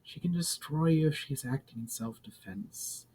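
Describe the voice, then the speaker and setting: A man voicing a wise, mysterious woman guide in a fantasy story, explaining in a formal, measured manner. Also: fairly high pitch, warm tone, breathy edge. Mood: admonishing. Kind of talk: fantasy audiobook dialogue.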